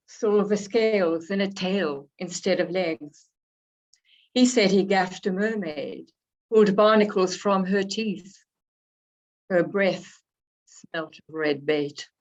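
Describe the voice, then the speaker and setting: A woman from Africa, slightly hoarse from a cold, reading her poems and introducing them in a reflective, unhurried way. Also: mid-range voice. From South Africa. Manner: unhurried, reflective, pausing between phrases. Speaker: poet. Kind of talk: poetry reading with spoken introductions. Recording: dull lo-fi recording.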